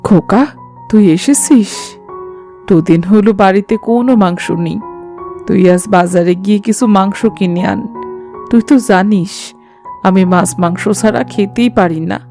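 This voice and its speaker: A woman's voice: medium pitch, normal speed, dark tone, soft background music, natural voice. A woman voicing a demanding older mother-in-law in a cartoon, complaining and imperious. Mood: grumbling. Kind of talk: cartoon family drama acting.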